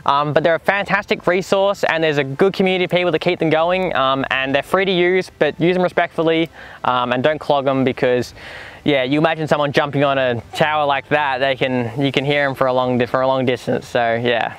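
A man's voice: fairly high pitch, steady tone, a little fast, a bit bright, clean tone. A man in his twenties with an Australian accent, casually explaining to camera as a vlog host outdoors. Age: 20s. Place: outdoors in dry bush clearing beside a 4WD.

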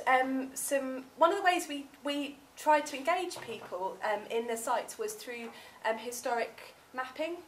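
Southern English woman academic, fairly high voice, casual talk with lots of ums, seminar room, distant mic, faint room hum. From southern England. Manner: chatty, many ums, gestures while speaking. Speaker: academic researcher presenting. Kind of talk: conference talk with slides. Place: seminar room with projector screen. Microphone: far camera, room audio.

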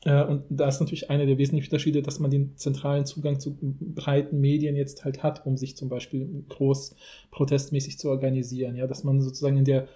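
A man's voice: medium pitch, completely flat delivery, quick pace, warm tone. A man hosting a podcast, talking in a casual, rambling and informal way. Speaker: podcast host. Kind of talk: casual discussion.

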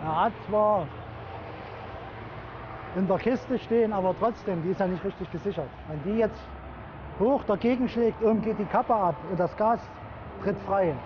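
A police officer speaks in brisk, terse remarks while on duty. His voice is high for a man. The recording sounds dull, with clear traffic noise behind him. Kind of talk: casual talk on duty.

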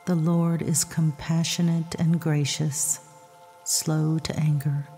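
A woman softly narrating a scripted guided sleep meditation with scripture readings, in a hushed, breathy, soothing and measured voice. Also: deep voice, level tone. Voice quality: soft, breathy, husky. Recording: long reverb, soft music behind.

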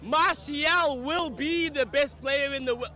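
A young man in his twenties, a football fan speaking emphatically and deliberately to camera in the street. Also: extremely high pitch, pushed and bright. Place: outdoors in a busy street.

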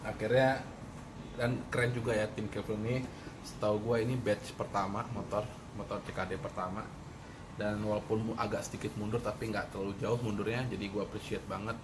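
A man's voice: fairly low pitch, flat delivery, a little fast. A man, chatting casually about his motorbike with lots of fillers, filmed on a camera held at arm's length on a covered porch outdoors. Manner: slangy, chatty, many fillers and restarts. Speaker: motorcycle vlogger. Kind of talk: casual review chat. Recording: noisy outdoor ambience.

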